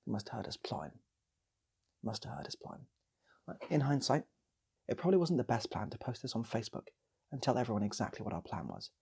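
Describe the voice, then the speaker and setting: A man's voice: medium pitch, big pitch swings, fast, gravelly, long pauses, dark tone, slightly rough. A British man improvising a comic story, rambling and repeating phrases for effect as he goes. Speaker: channel host and storyteller.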